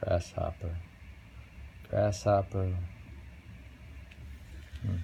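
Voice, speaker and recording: Slow, deep-voiced man musing over poetry. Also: level tone, vocal fry, warm tone, a little hiss or hum, low-quality recording. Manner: unhurried, musing, pauses over lines. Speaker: poetry reader and host. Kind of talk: casual poetry reading and chat.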